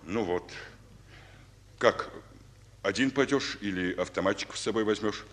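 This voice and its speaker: An actor playing a weary, fatherly Soviet army general in a radio drama, speaking in a measured, confiding, weighty way. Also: lowish voice, a bit bright. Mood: measured, commanding. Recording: old radio recording, long reverb.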